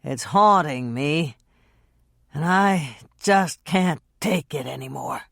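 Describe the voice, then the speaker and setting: Figure: drunk, brooding man, voiced by a woman. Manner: slow and slurring. Sound: dry, close. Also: lowish voice, big pitch swings, fairly bright tone, no room sound, exaggerated voice. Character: drunk, brooding adult man, an actor in a scene.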